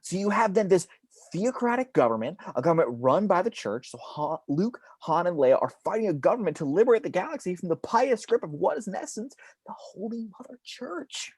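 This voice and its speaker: A man's voice: high voice, big pitch swings, fairly quick, darkish tone, husky, dry recording, heavily acted. A man acting a fervent, conspiratorial theorist who lectures emphatically with rhetorical questions in a read-through of a film script. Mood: fervent, lecturing. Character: fervent, conspiratorial male ranter with a pet theory.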